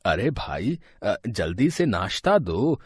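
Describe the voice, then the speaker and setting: Older father-in-law, affectionate, easygoing, husky, dry close sound, storybook drama. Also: on the low side, very animated, takes it slowly, dry recording, full character voice. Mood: affectionate, cheerful.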